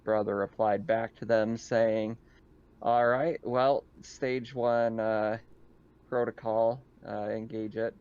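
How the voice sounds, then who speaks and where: A man narrating a tabletop game as game master, feeling out his phrasing haltingly as he improvises. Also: on the low side, flat delivery, darkish tone, smooth, muffled recording.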